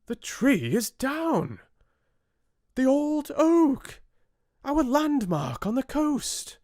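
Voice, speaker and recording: A man voicing an excited sailor exclaiming in a fairy tale audiobook. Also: extremely slow, no room sound, studio quality, exaggerated voice. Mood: excited, dismayed. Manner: high-pitched exclaiming. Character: excited sailors shouting out across a ship.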